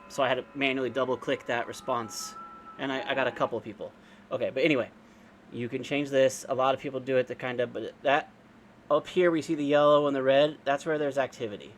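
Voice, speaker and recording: A man giving an informal, unscripted software walkthrough, explaining step by step in a casual way. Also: mid-range voice. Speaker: amateur radio operator giving a software tutorial. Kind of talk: informal screen-share tutorial, unscripted walkthrough.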